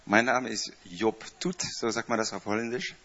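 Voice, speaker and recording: A man's voice: lowish voice, steady tone, moderate pace, muffled recording, noisy background. A man of fifty from the Netherlands, raised in France, with a Dutch and French accent in German, greeting a church congregation in a chatty, hesitant way with many fillers. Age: 50s. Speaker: church staff member giving a greeting. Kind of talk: informal speech to a congregation.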